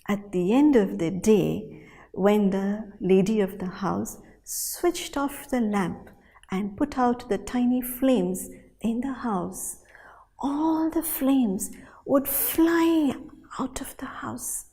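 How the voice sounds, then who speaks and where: A woman storyteller telling a folktale in a dramatic, animated way, with deliberate pauses and repetition. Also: on the high side. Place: hall at a storytelling festival. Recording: reverberant hall sound.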